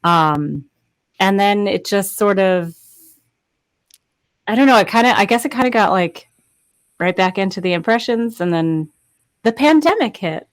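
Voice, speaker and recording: A woman's voice: medium pitch, expressive, measured pace, pauses often, no echo. A woman in her thirties telling casual anecdotes as a voice actor guest on a remote panel, close on the microphone. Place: indoor home recording space, video call.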